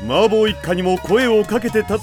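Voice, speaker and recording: An actor playing a boisterous middle-aged king and father in an anime audio drama, with rough, slangy bravado. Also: high-pitched, a little fast, close to an everyday voice. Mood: jovial. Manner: gruff slangy downtown bravado. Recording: music behind the voice.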